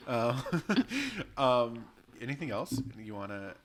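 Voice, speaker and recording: A man's voice: medium pitch, big pitch swings, slow pace, a bit bright. Frank, self-deprecating young man co-host. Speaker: podcast co-host. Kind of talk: casual chat.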